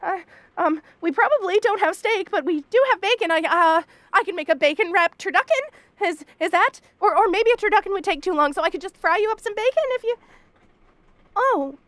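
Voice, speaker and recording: A woman's voice: very high-pitched, expressive, fairly quick, a bit bright, no echo. A woman voicing a shy young bunny girl roommate in a romantic comedy audio roleplay, stammering and protesting in a flustered way. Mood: panicky, babbling.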